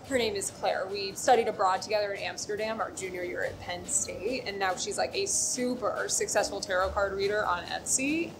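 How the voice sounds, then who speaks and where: A woman's voice: mid-range voice, poor audio. An actress playing a rambling, neurotic, easily offended young American woman in a comedic voicemail monologue for a short film. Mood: animated, aggrieved. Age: young adult. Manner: rambling, hesitant starts, frequent filler "like", indignant asides.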